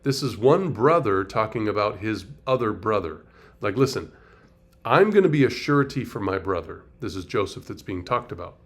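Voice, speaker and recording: A man's voice: fairly low pitch, very animated, normal speed. A middle-aged man, a pastor, teaching a conversational Bible study, breaking down word meanings and asking rhetorical questions.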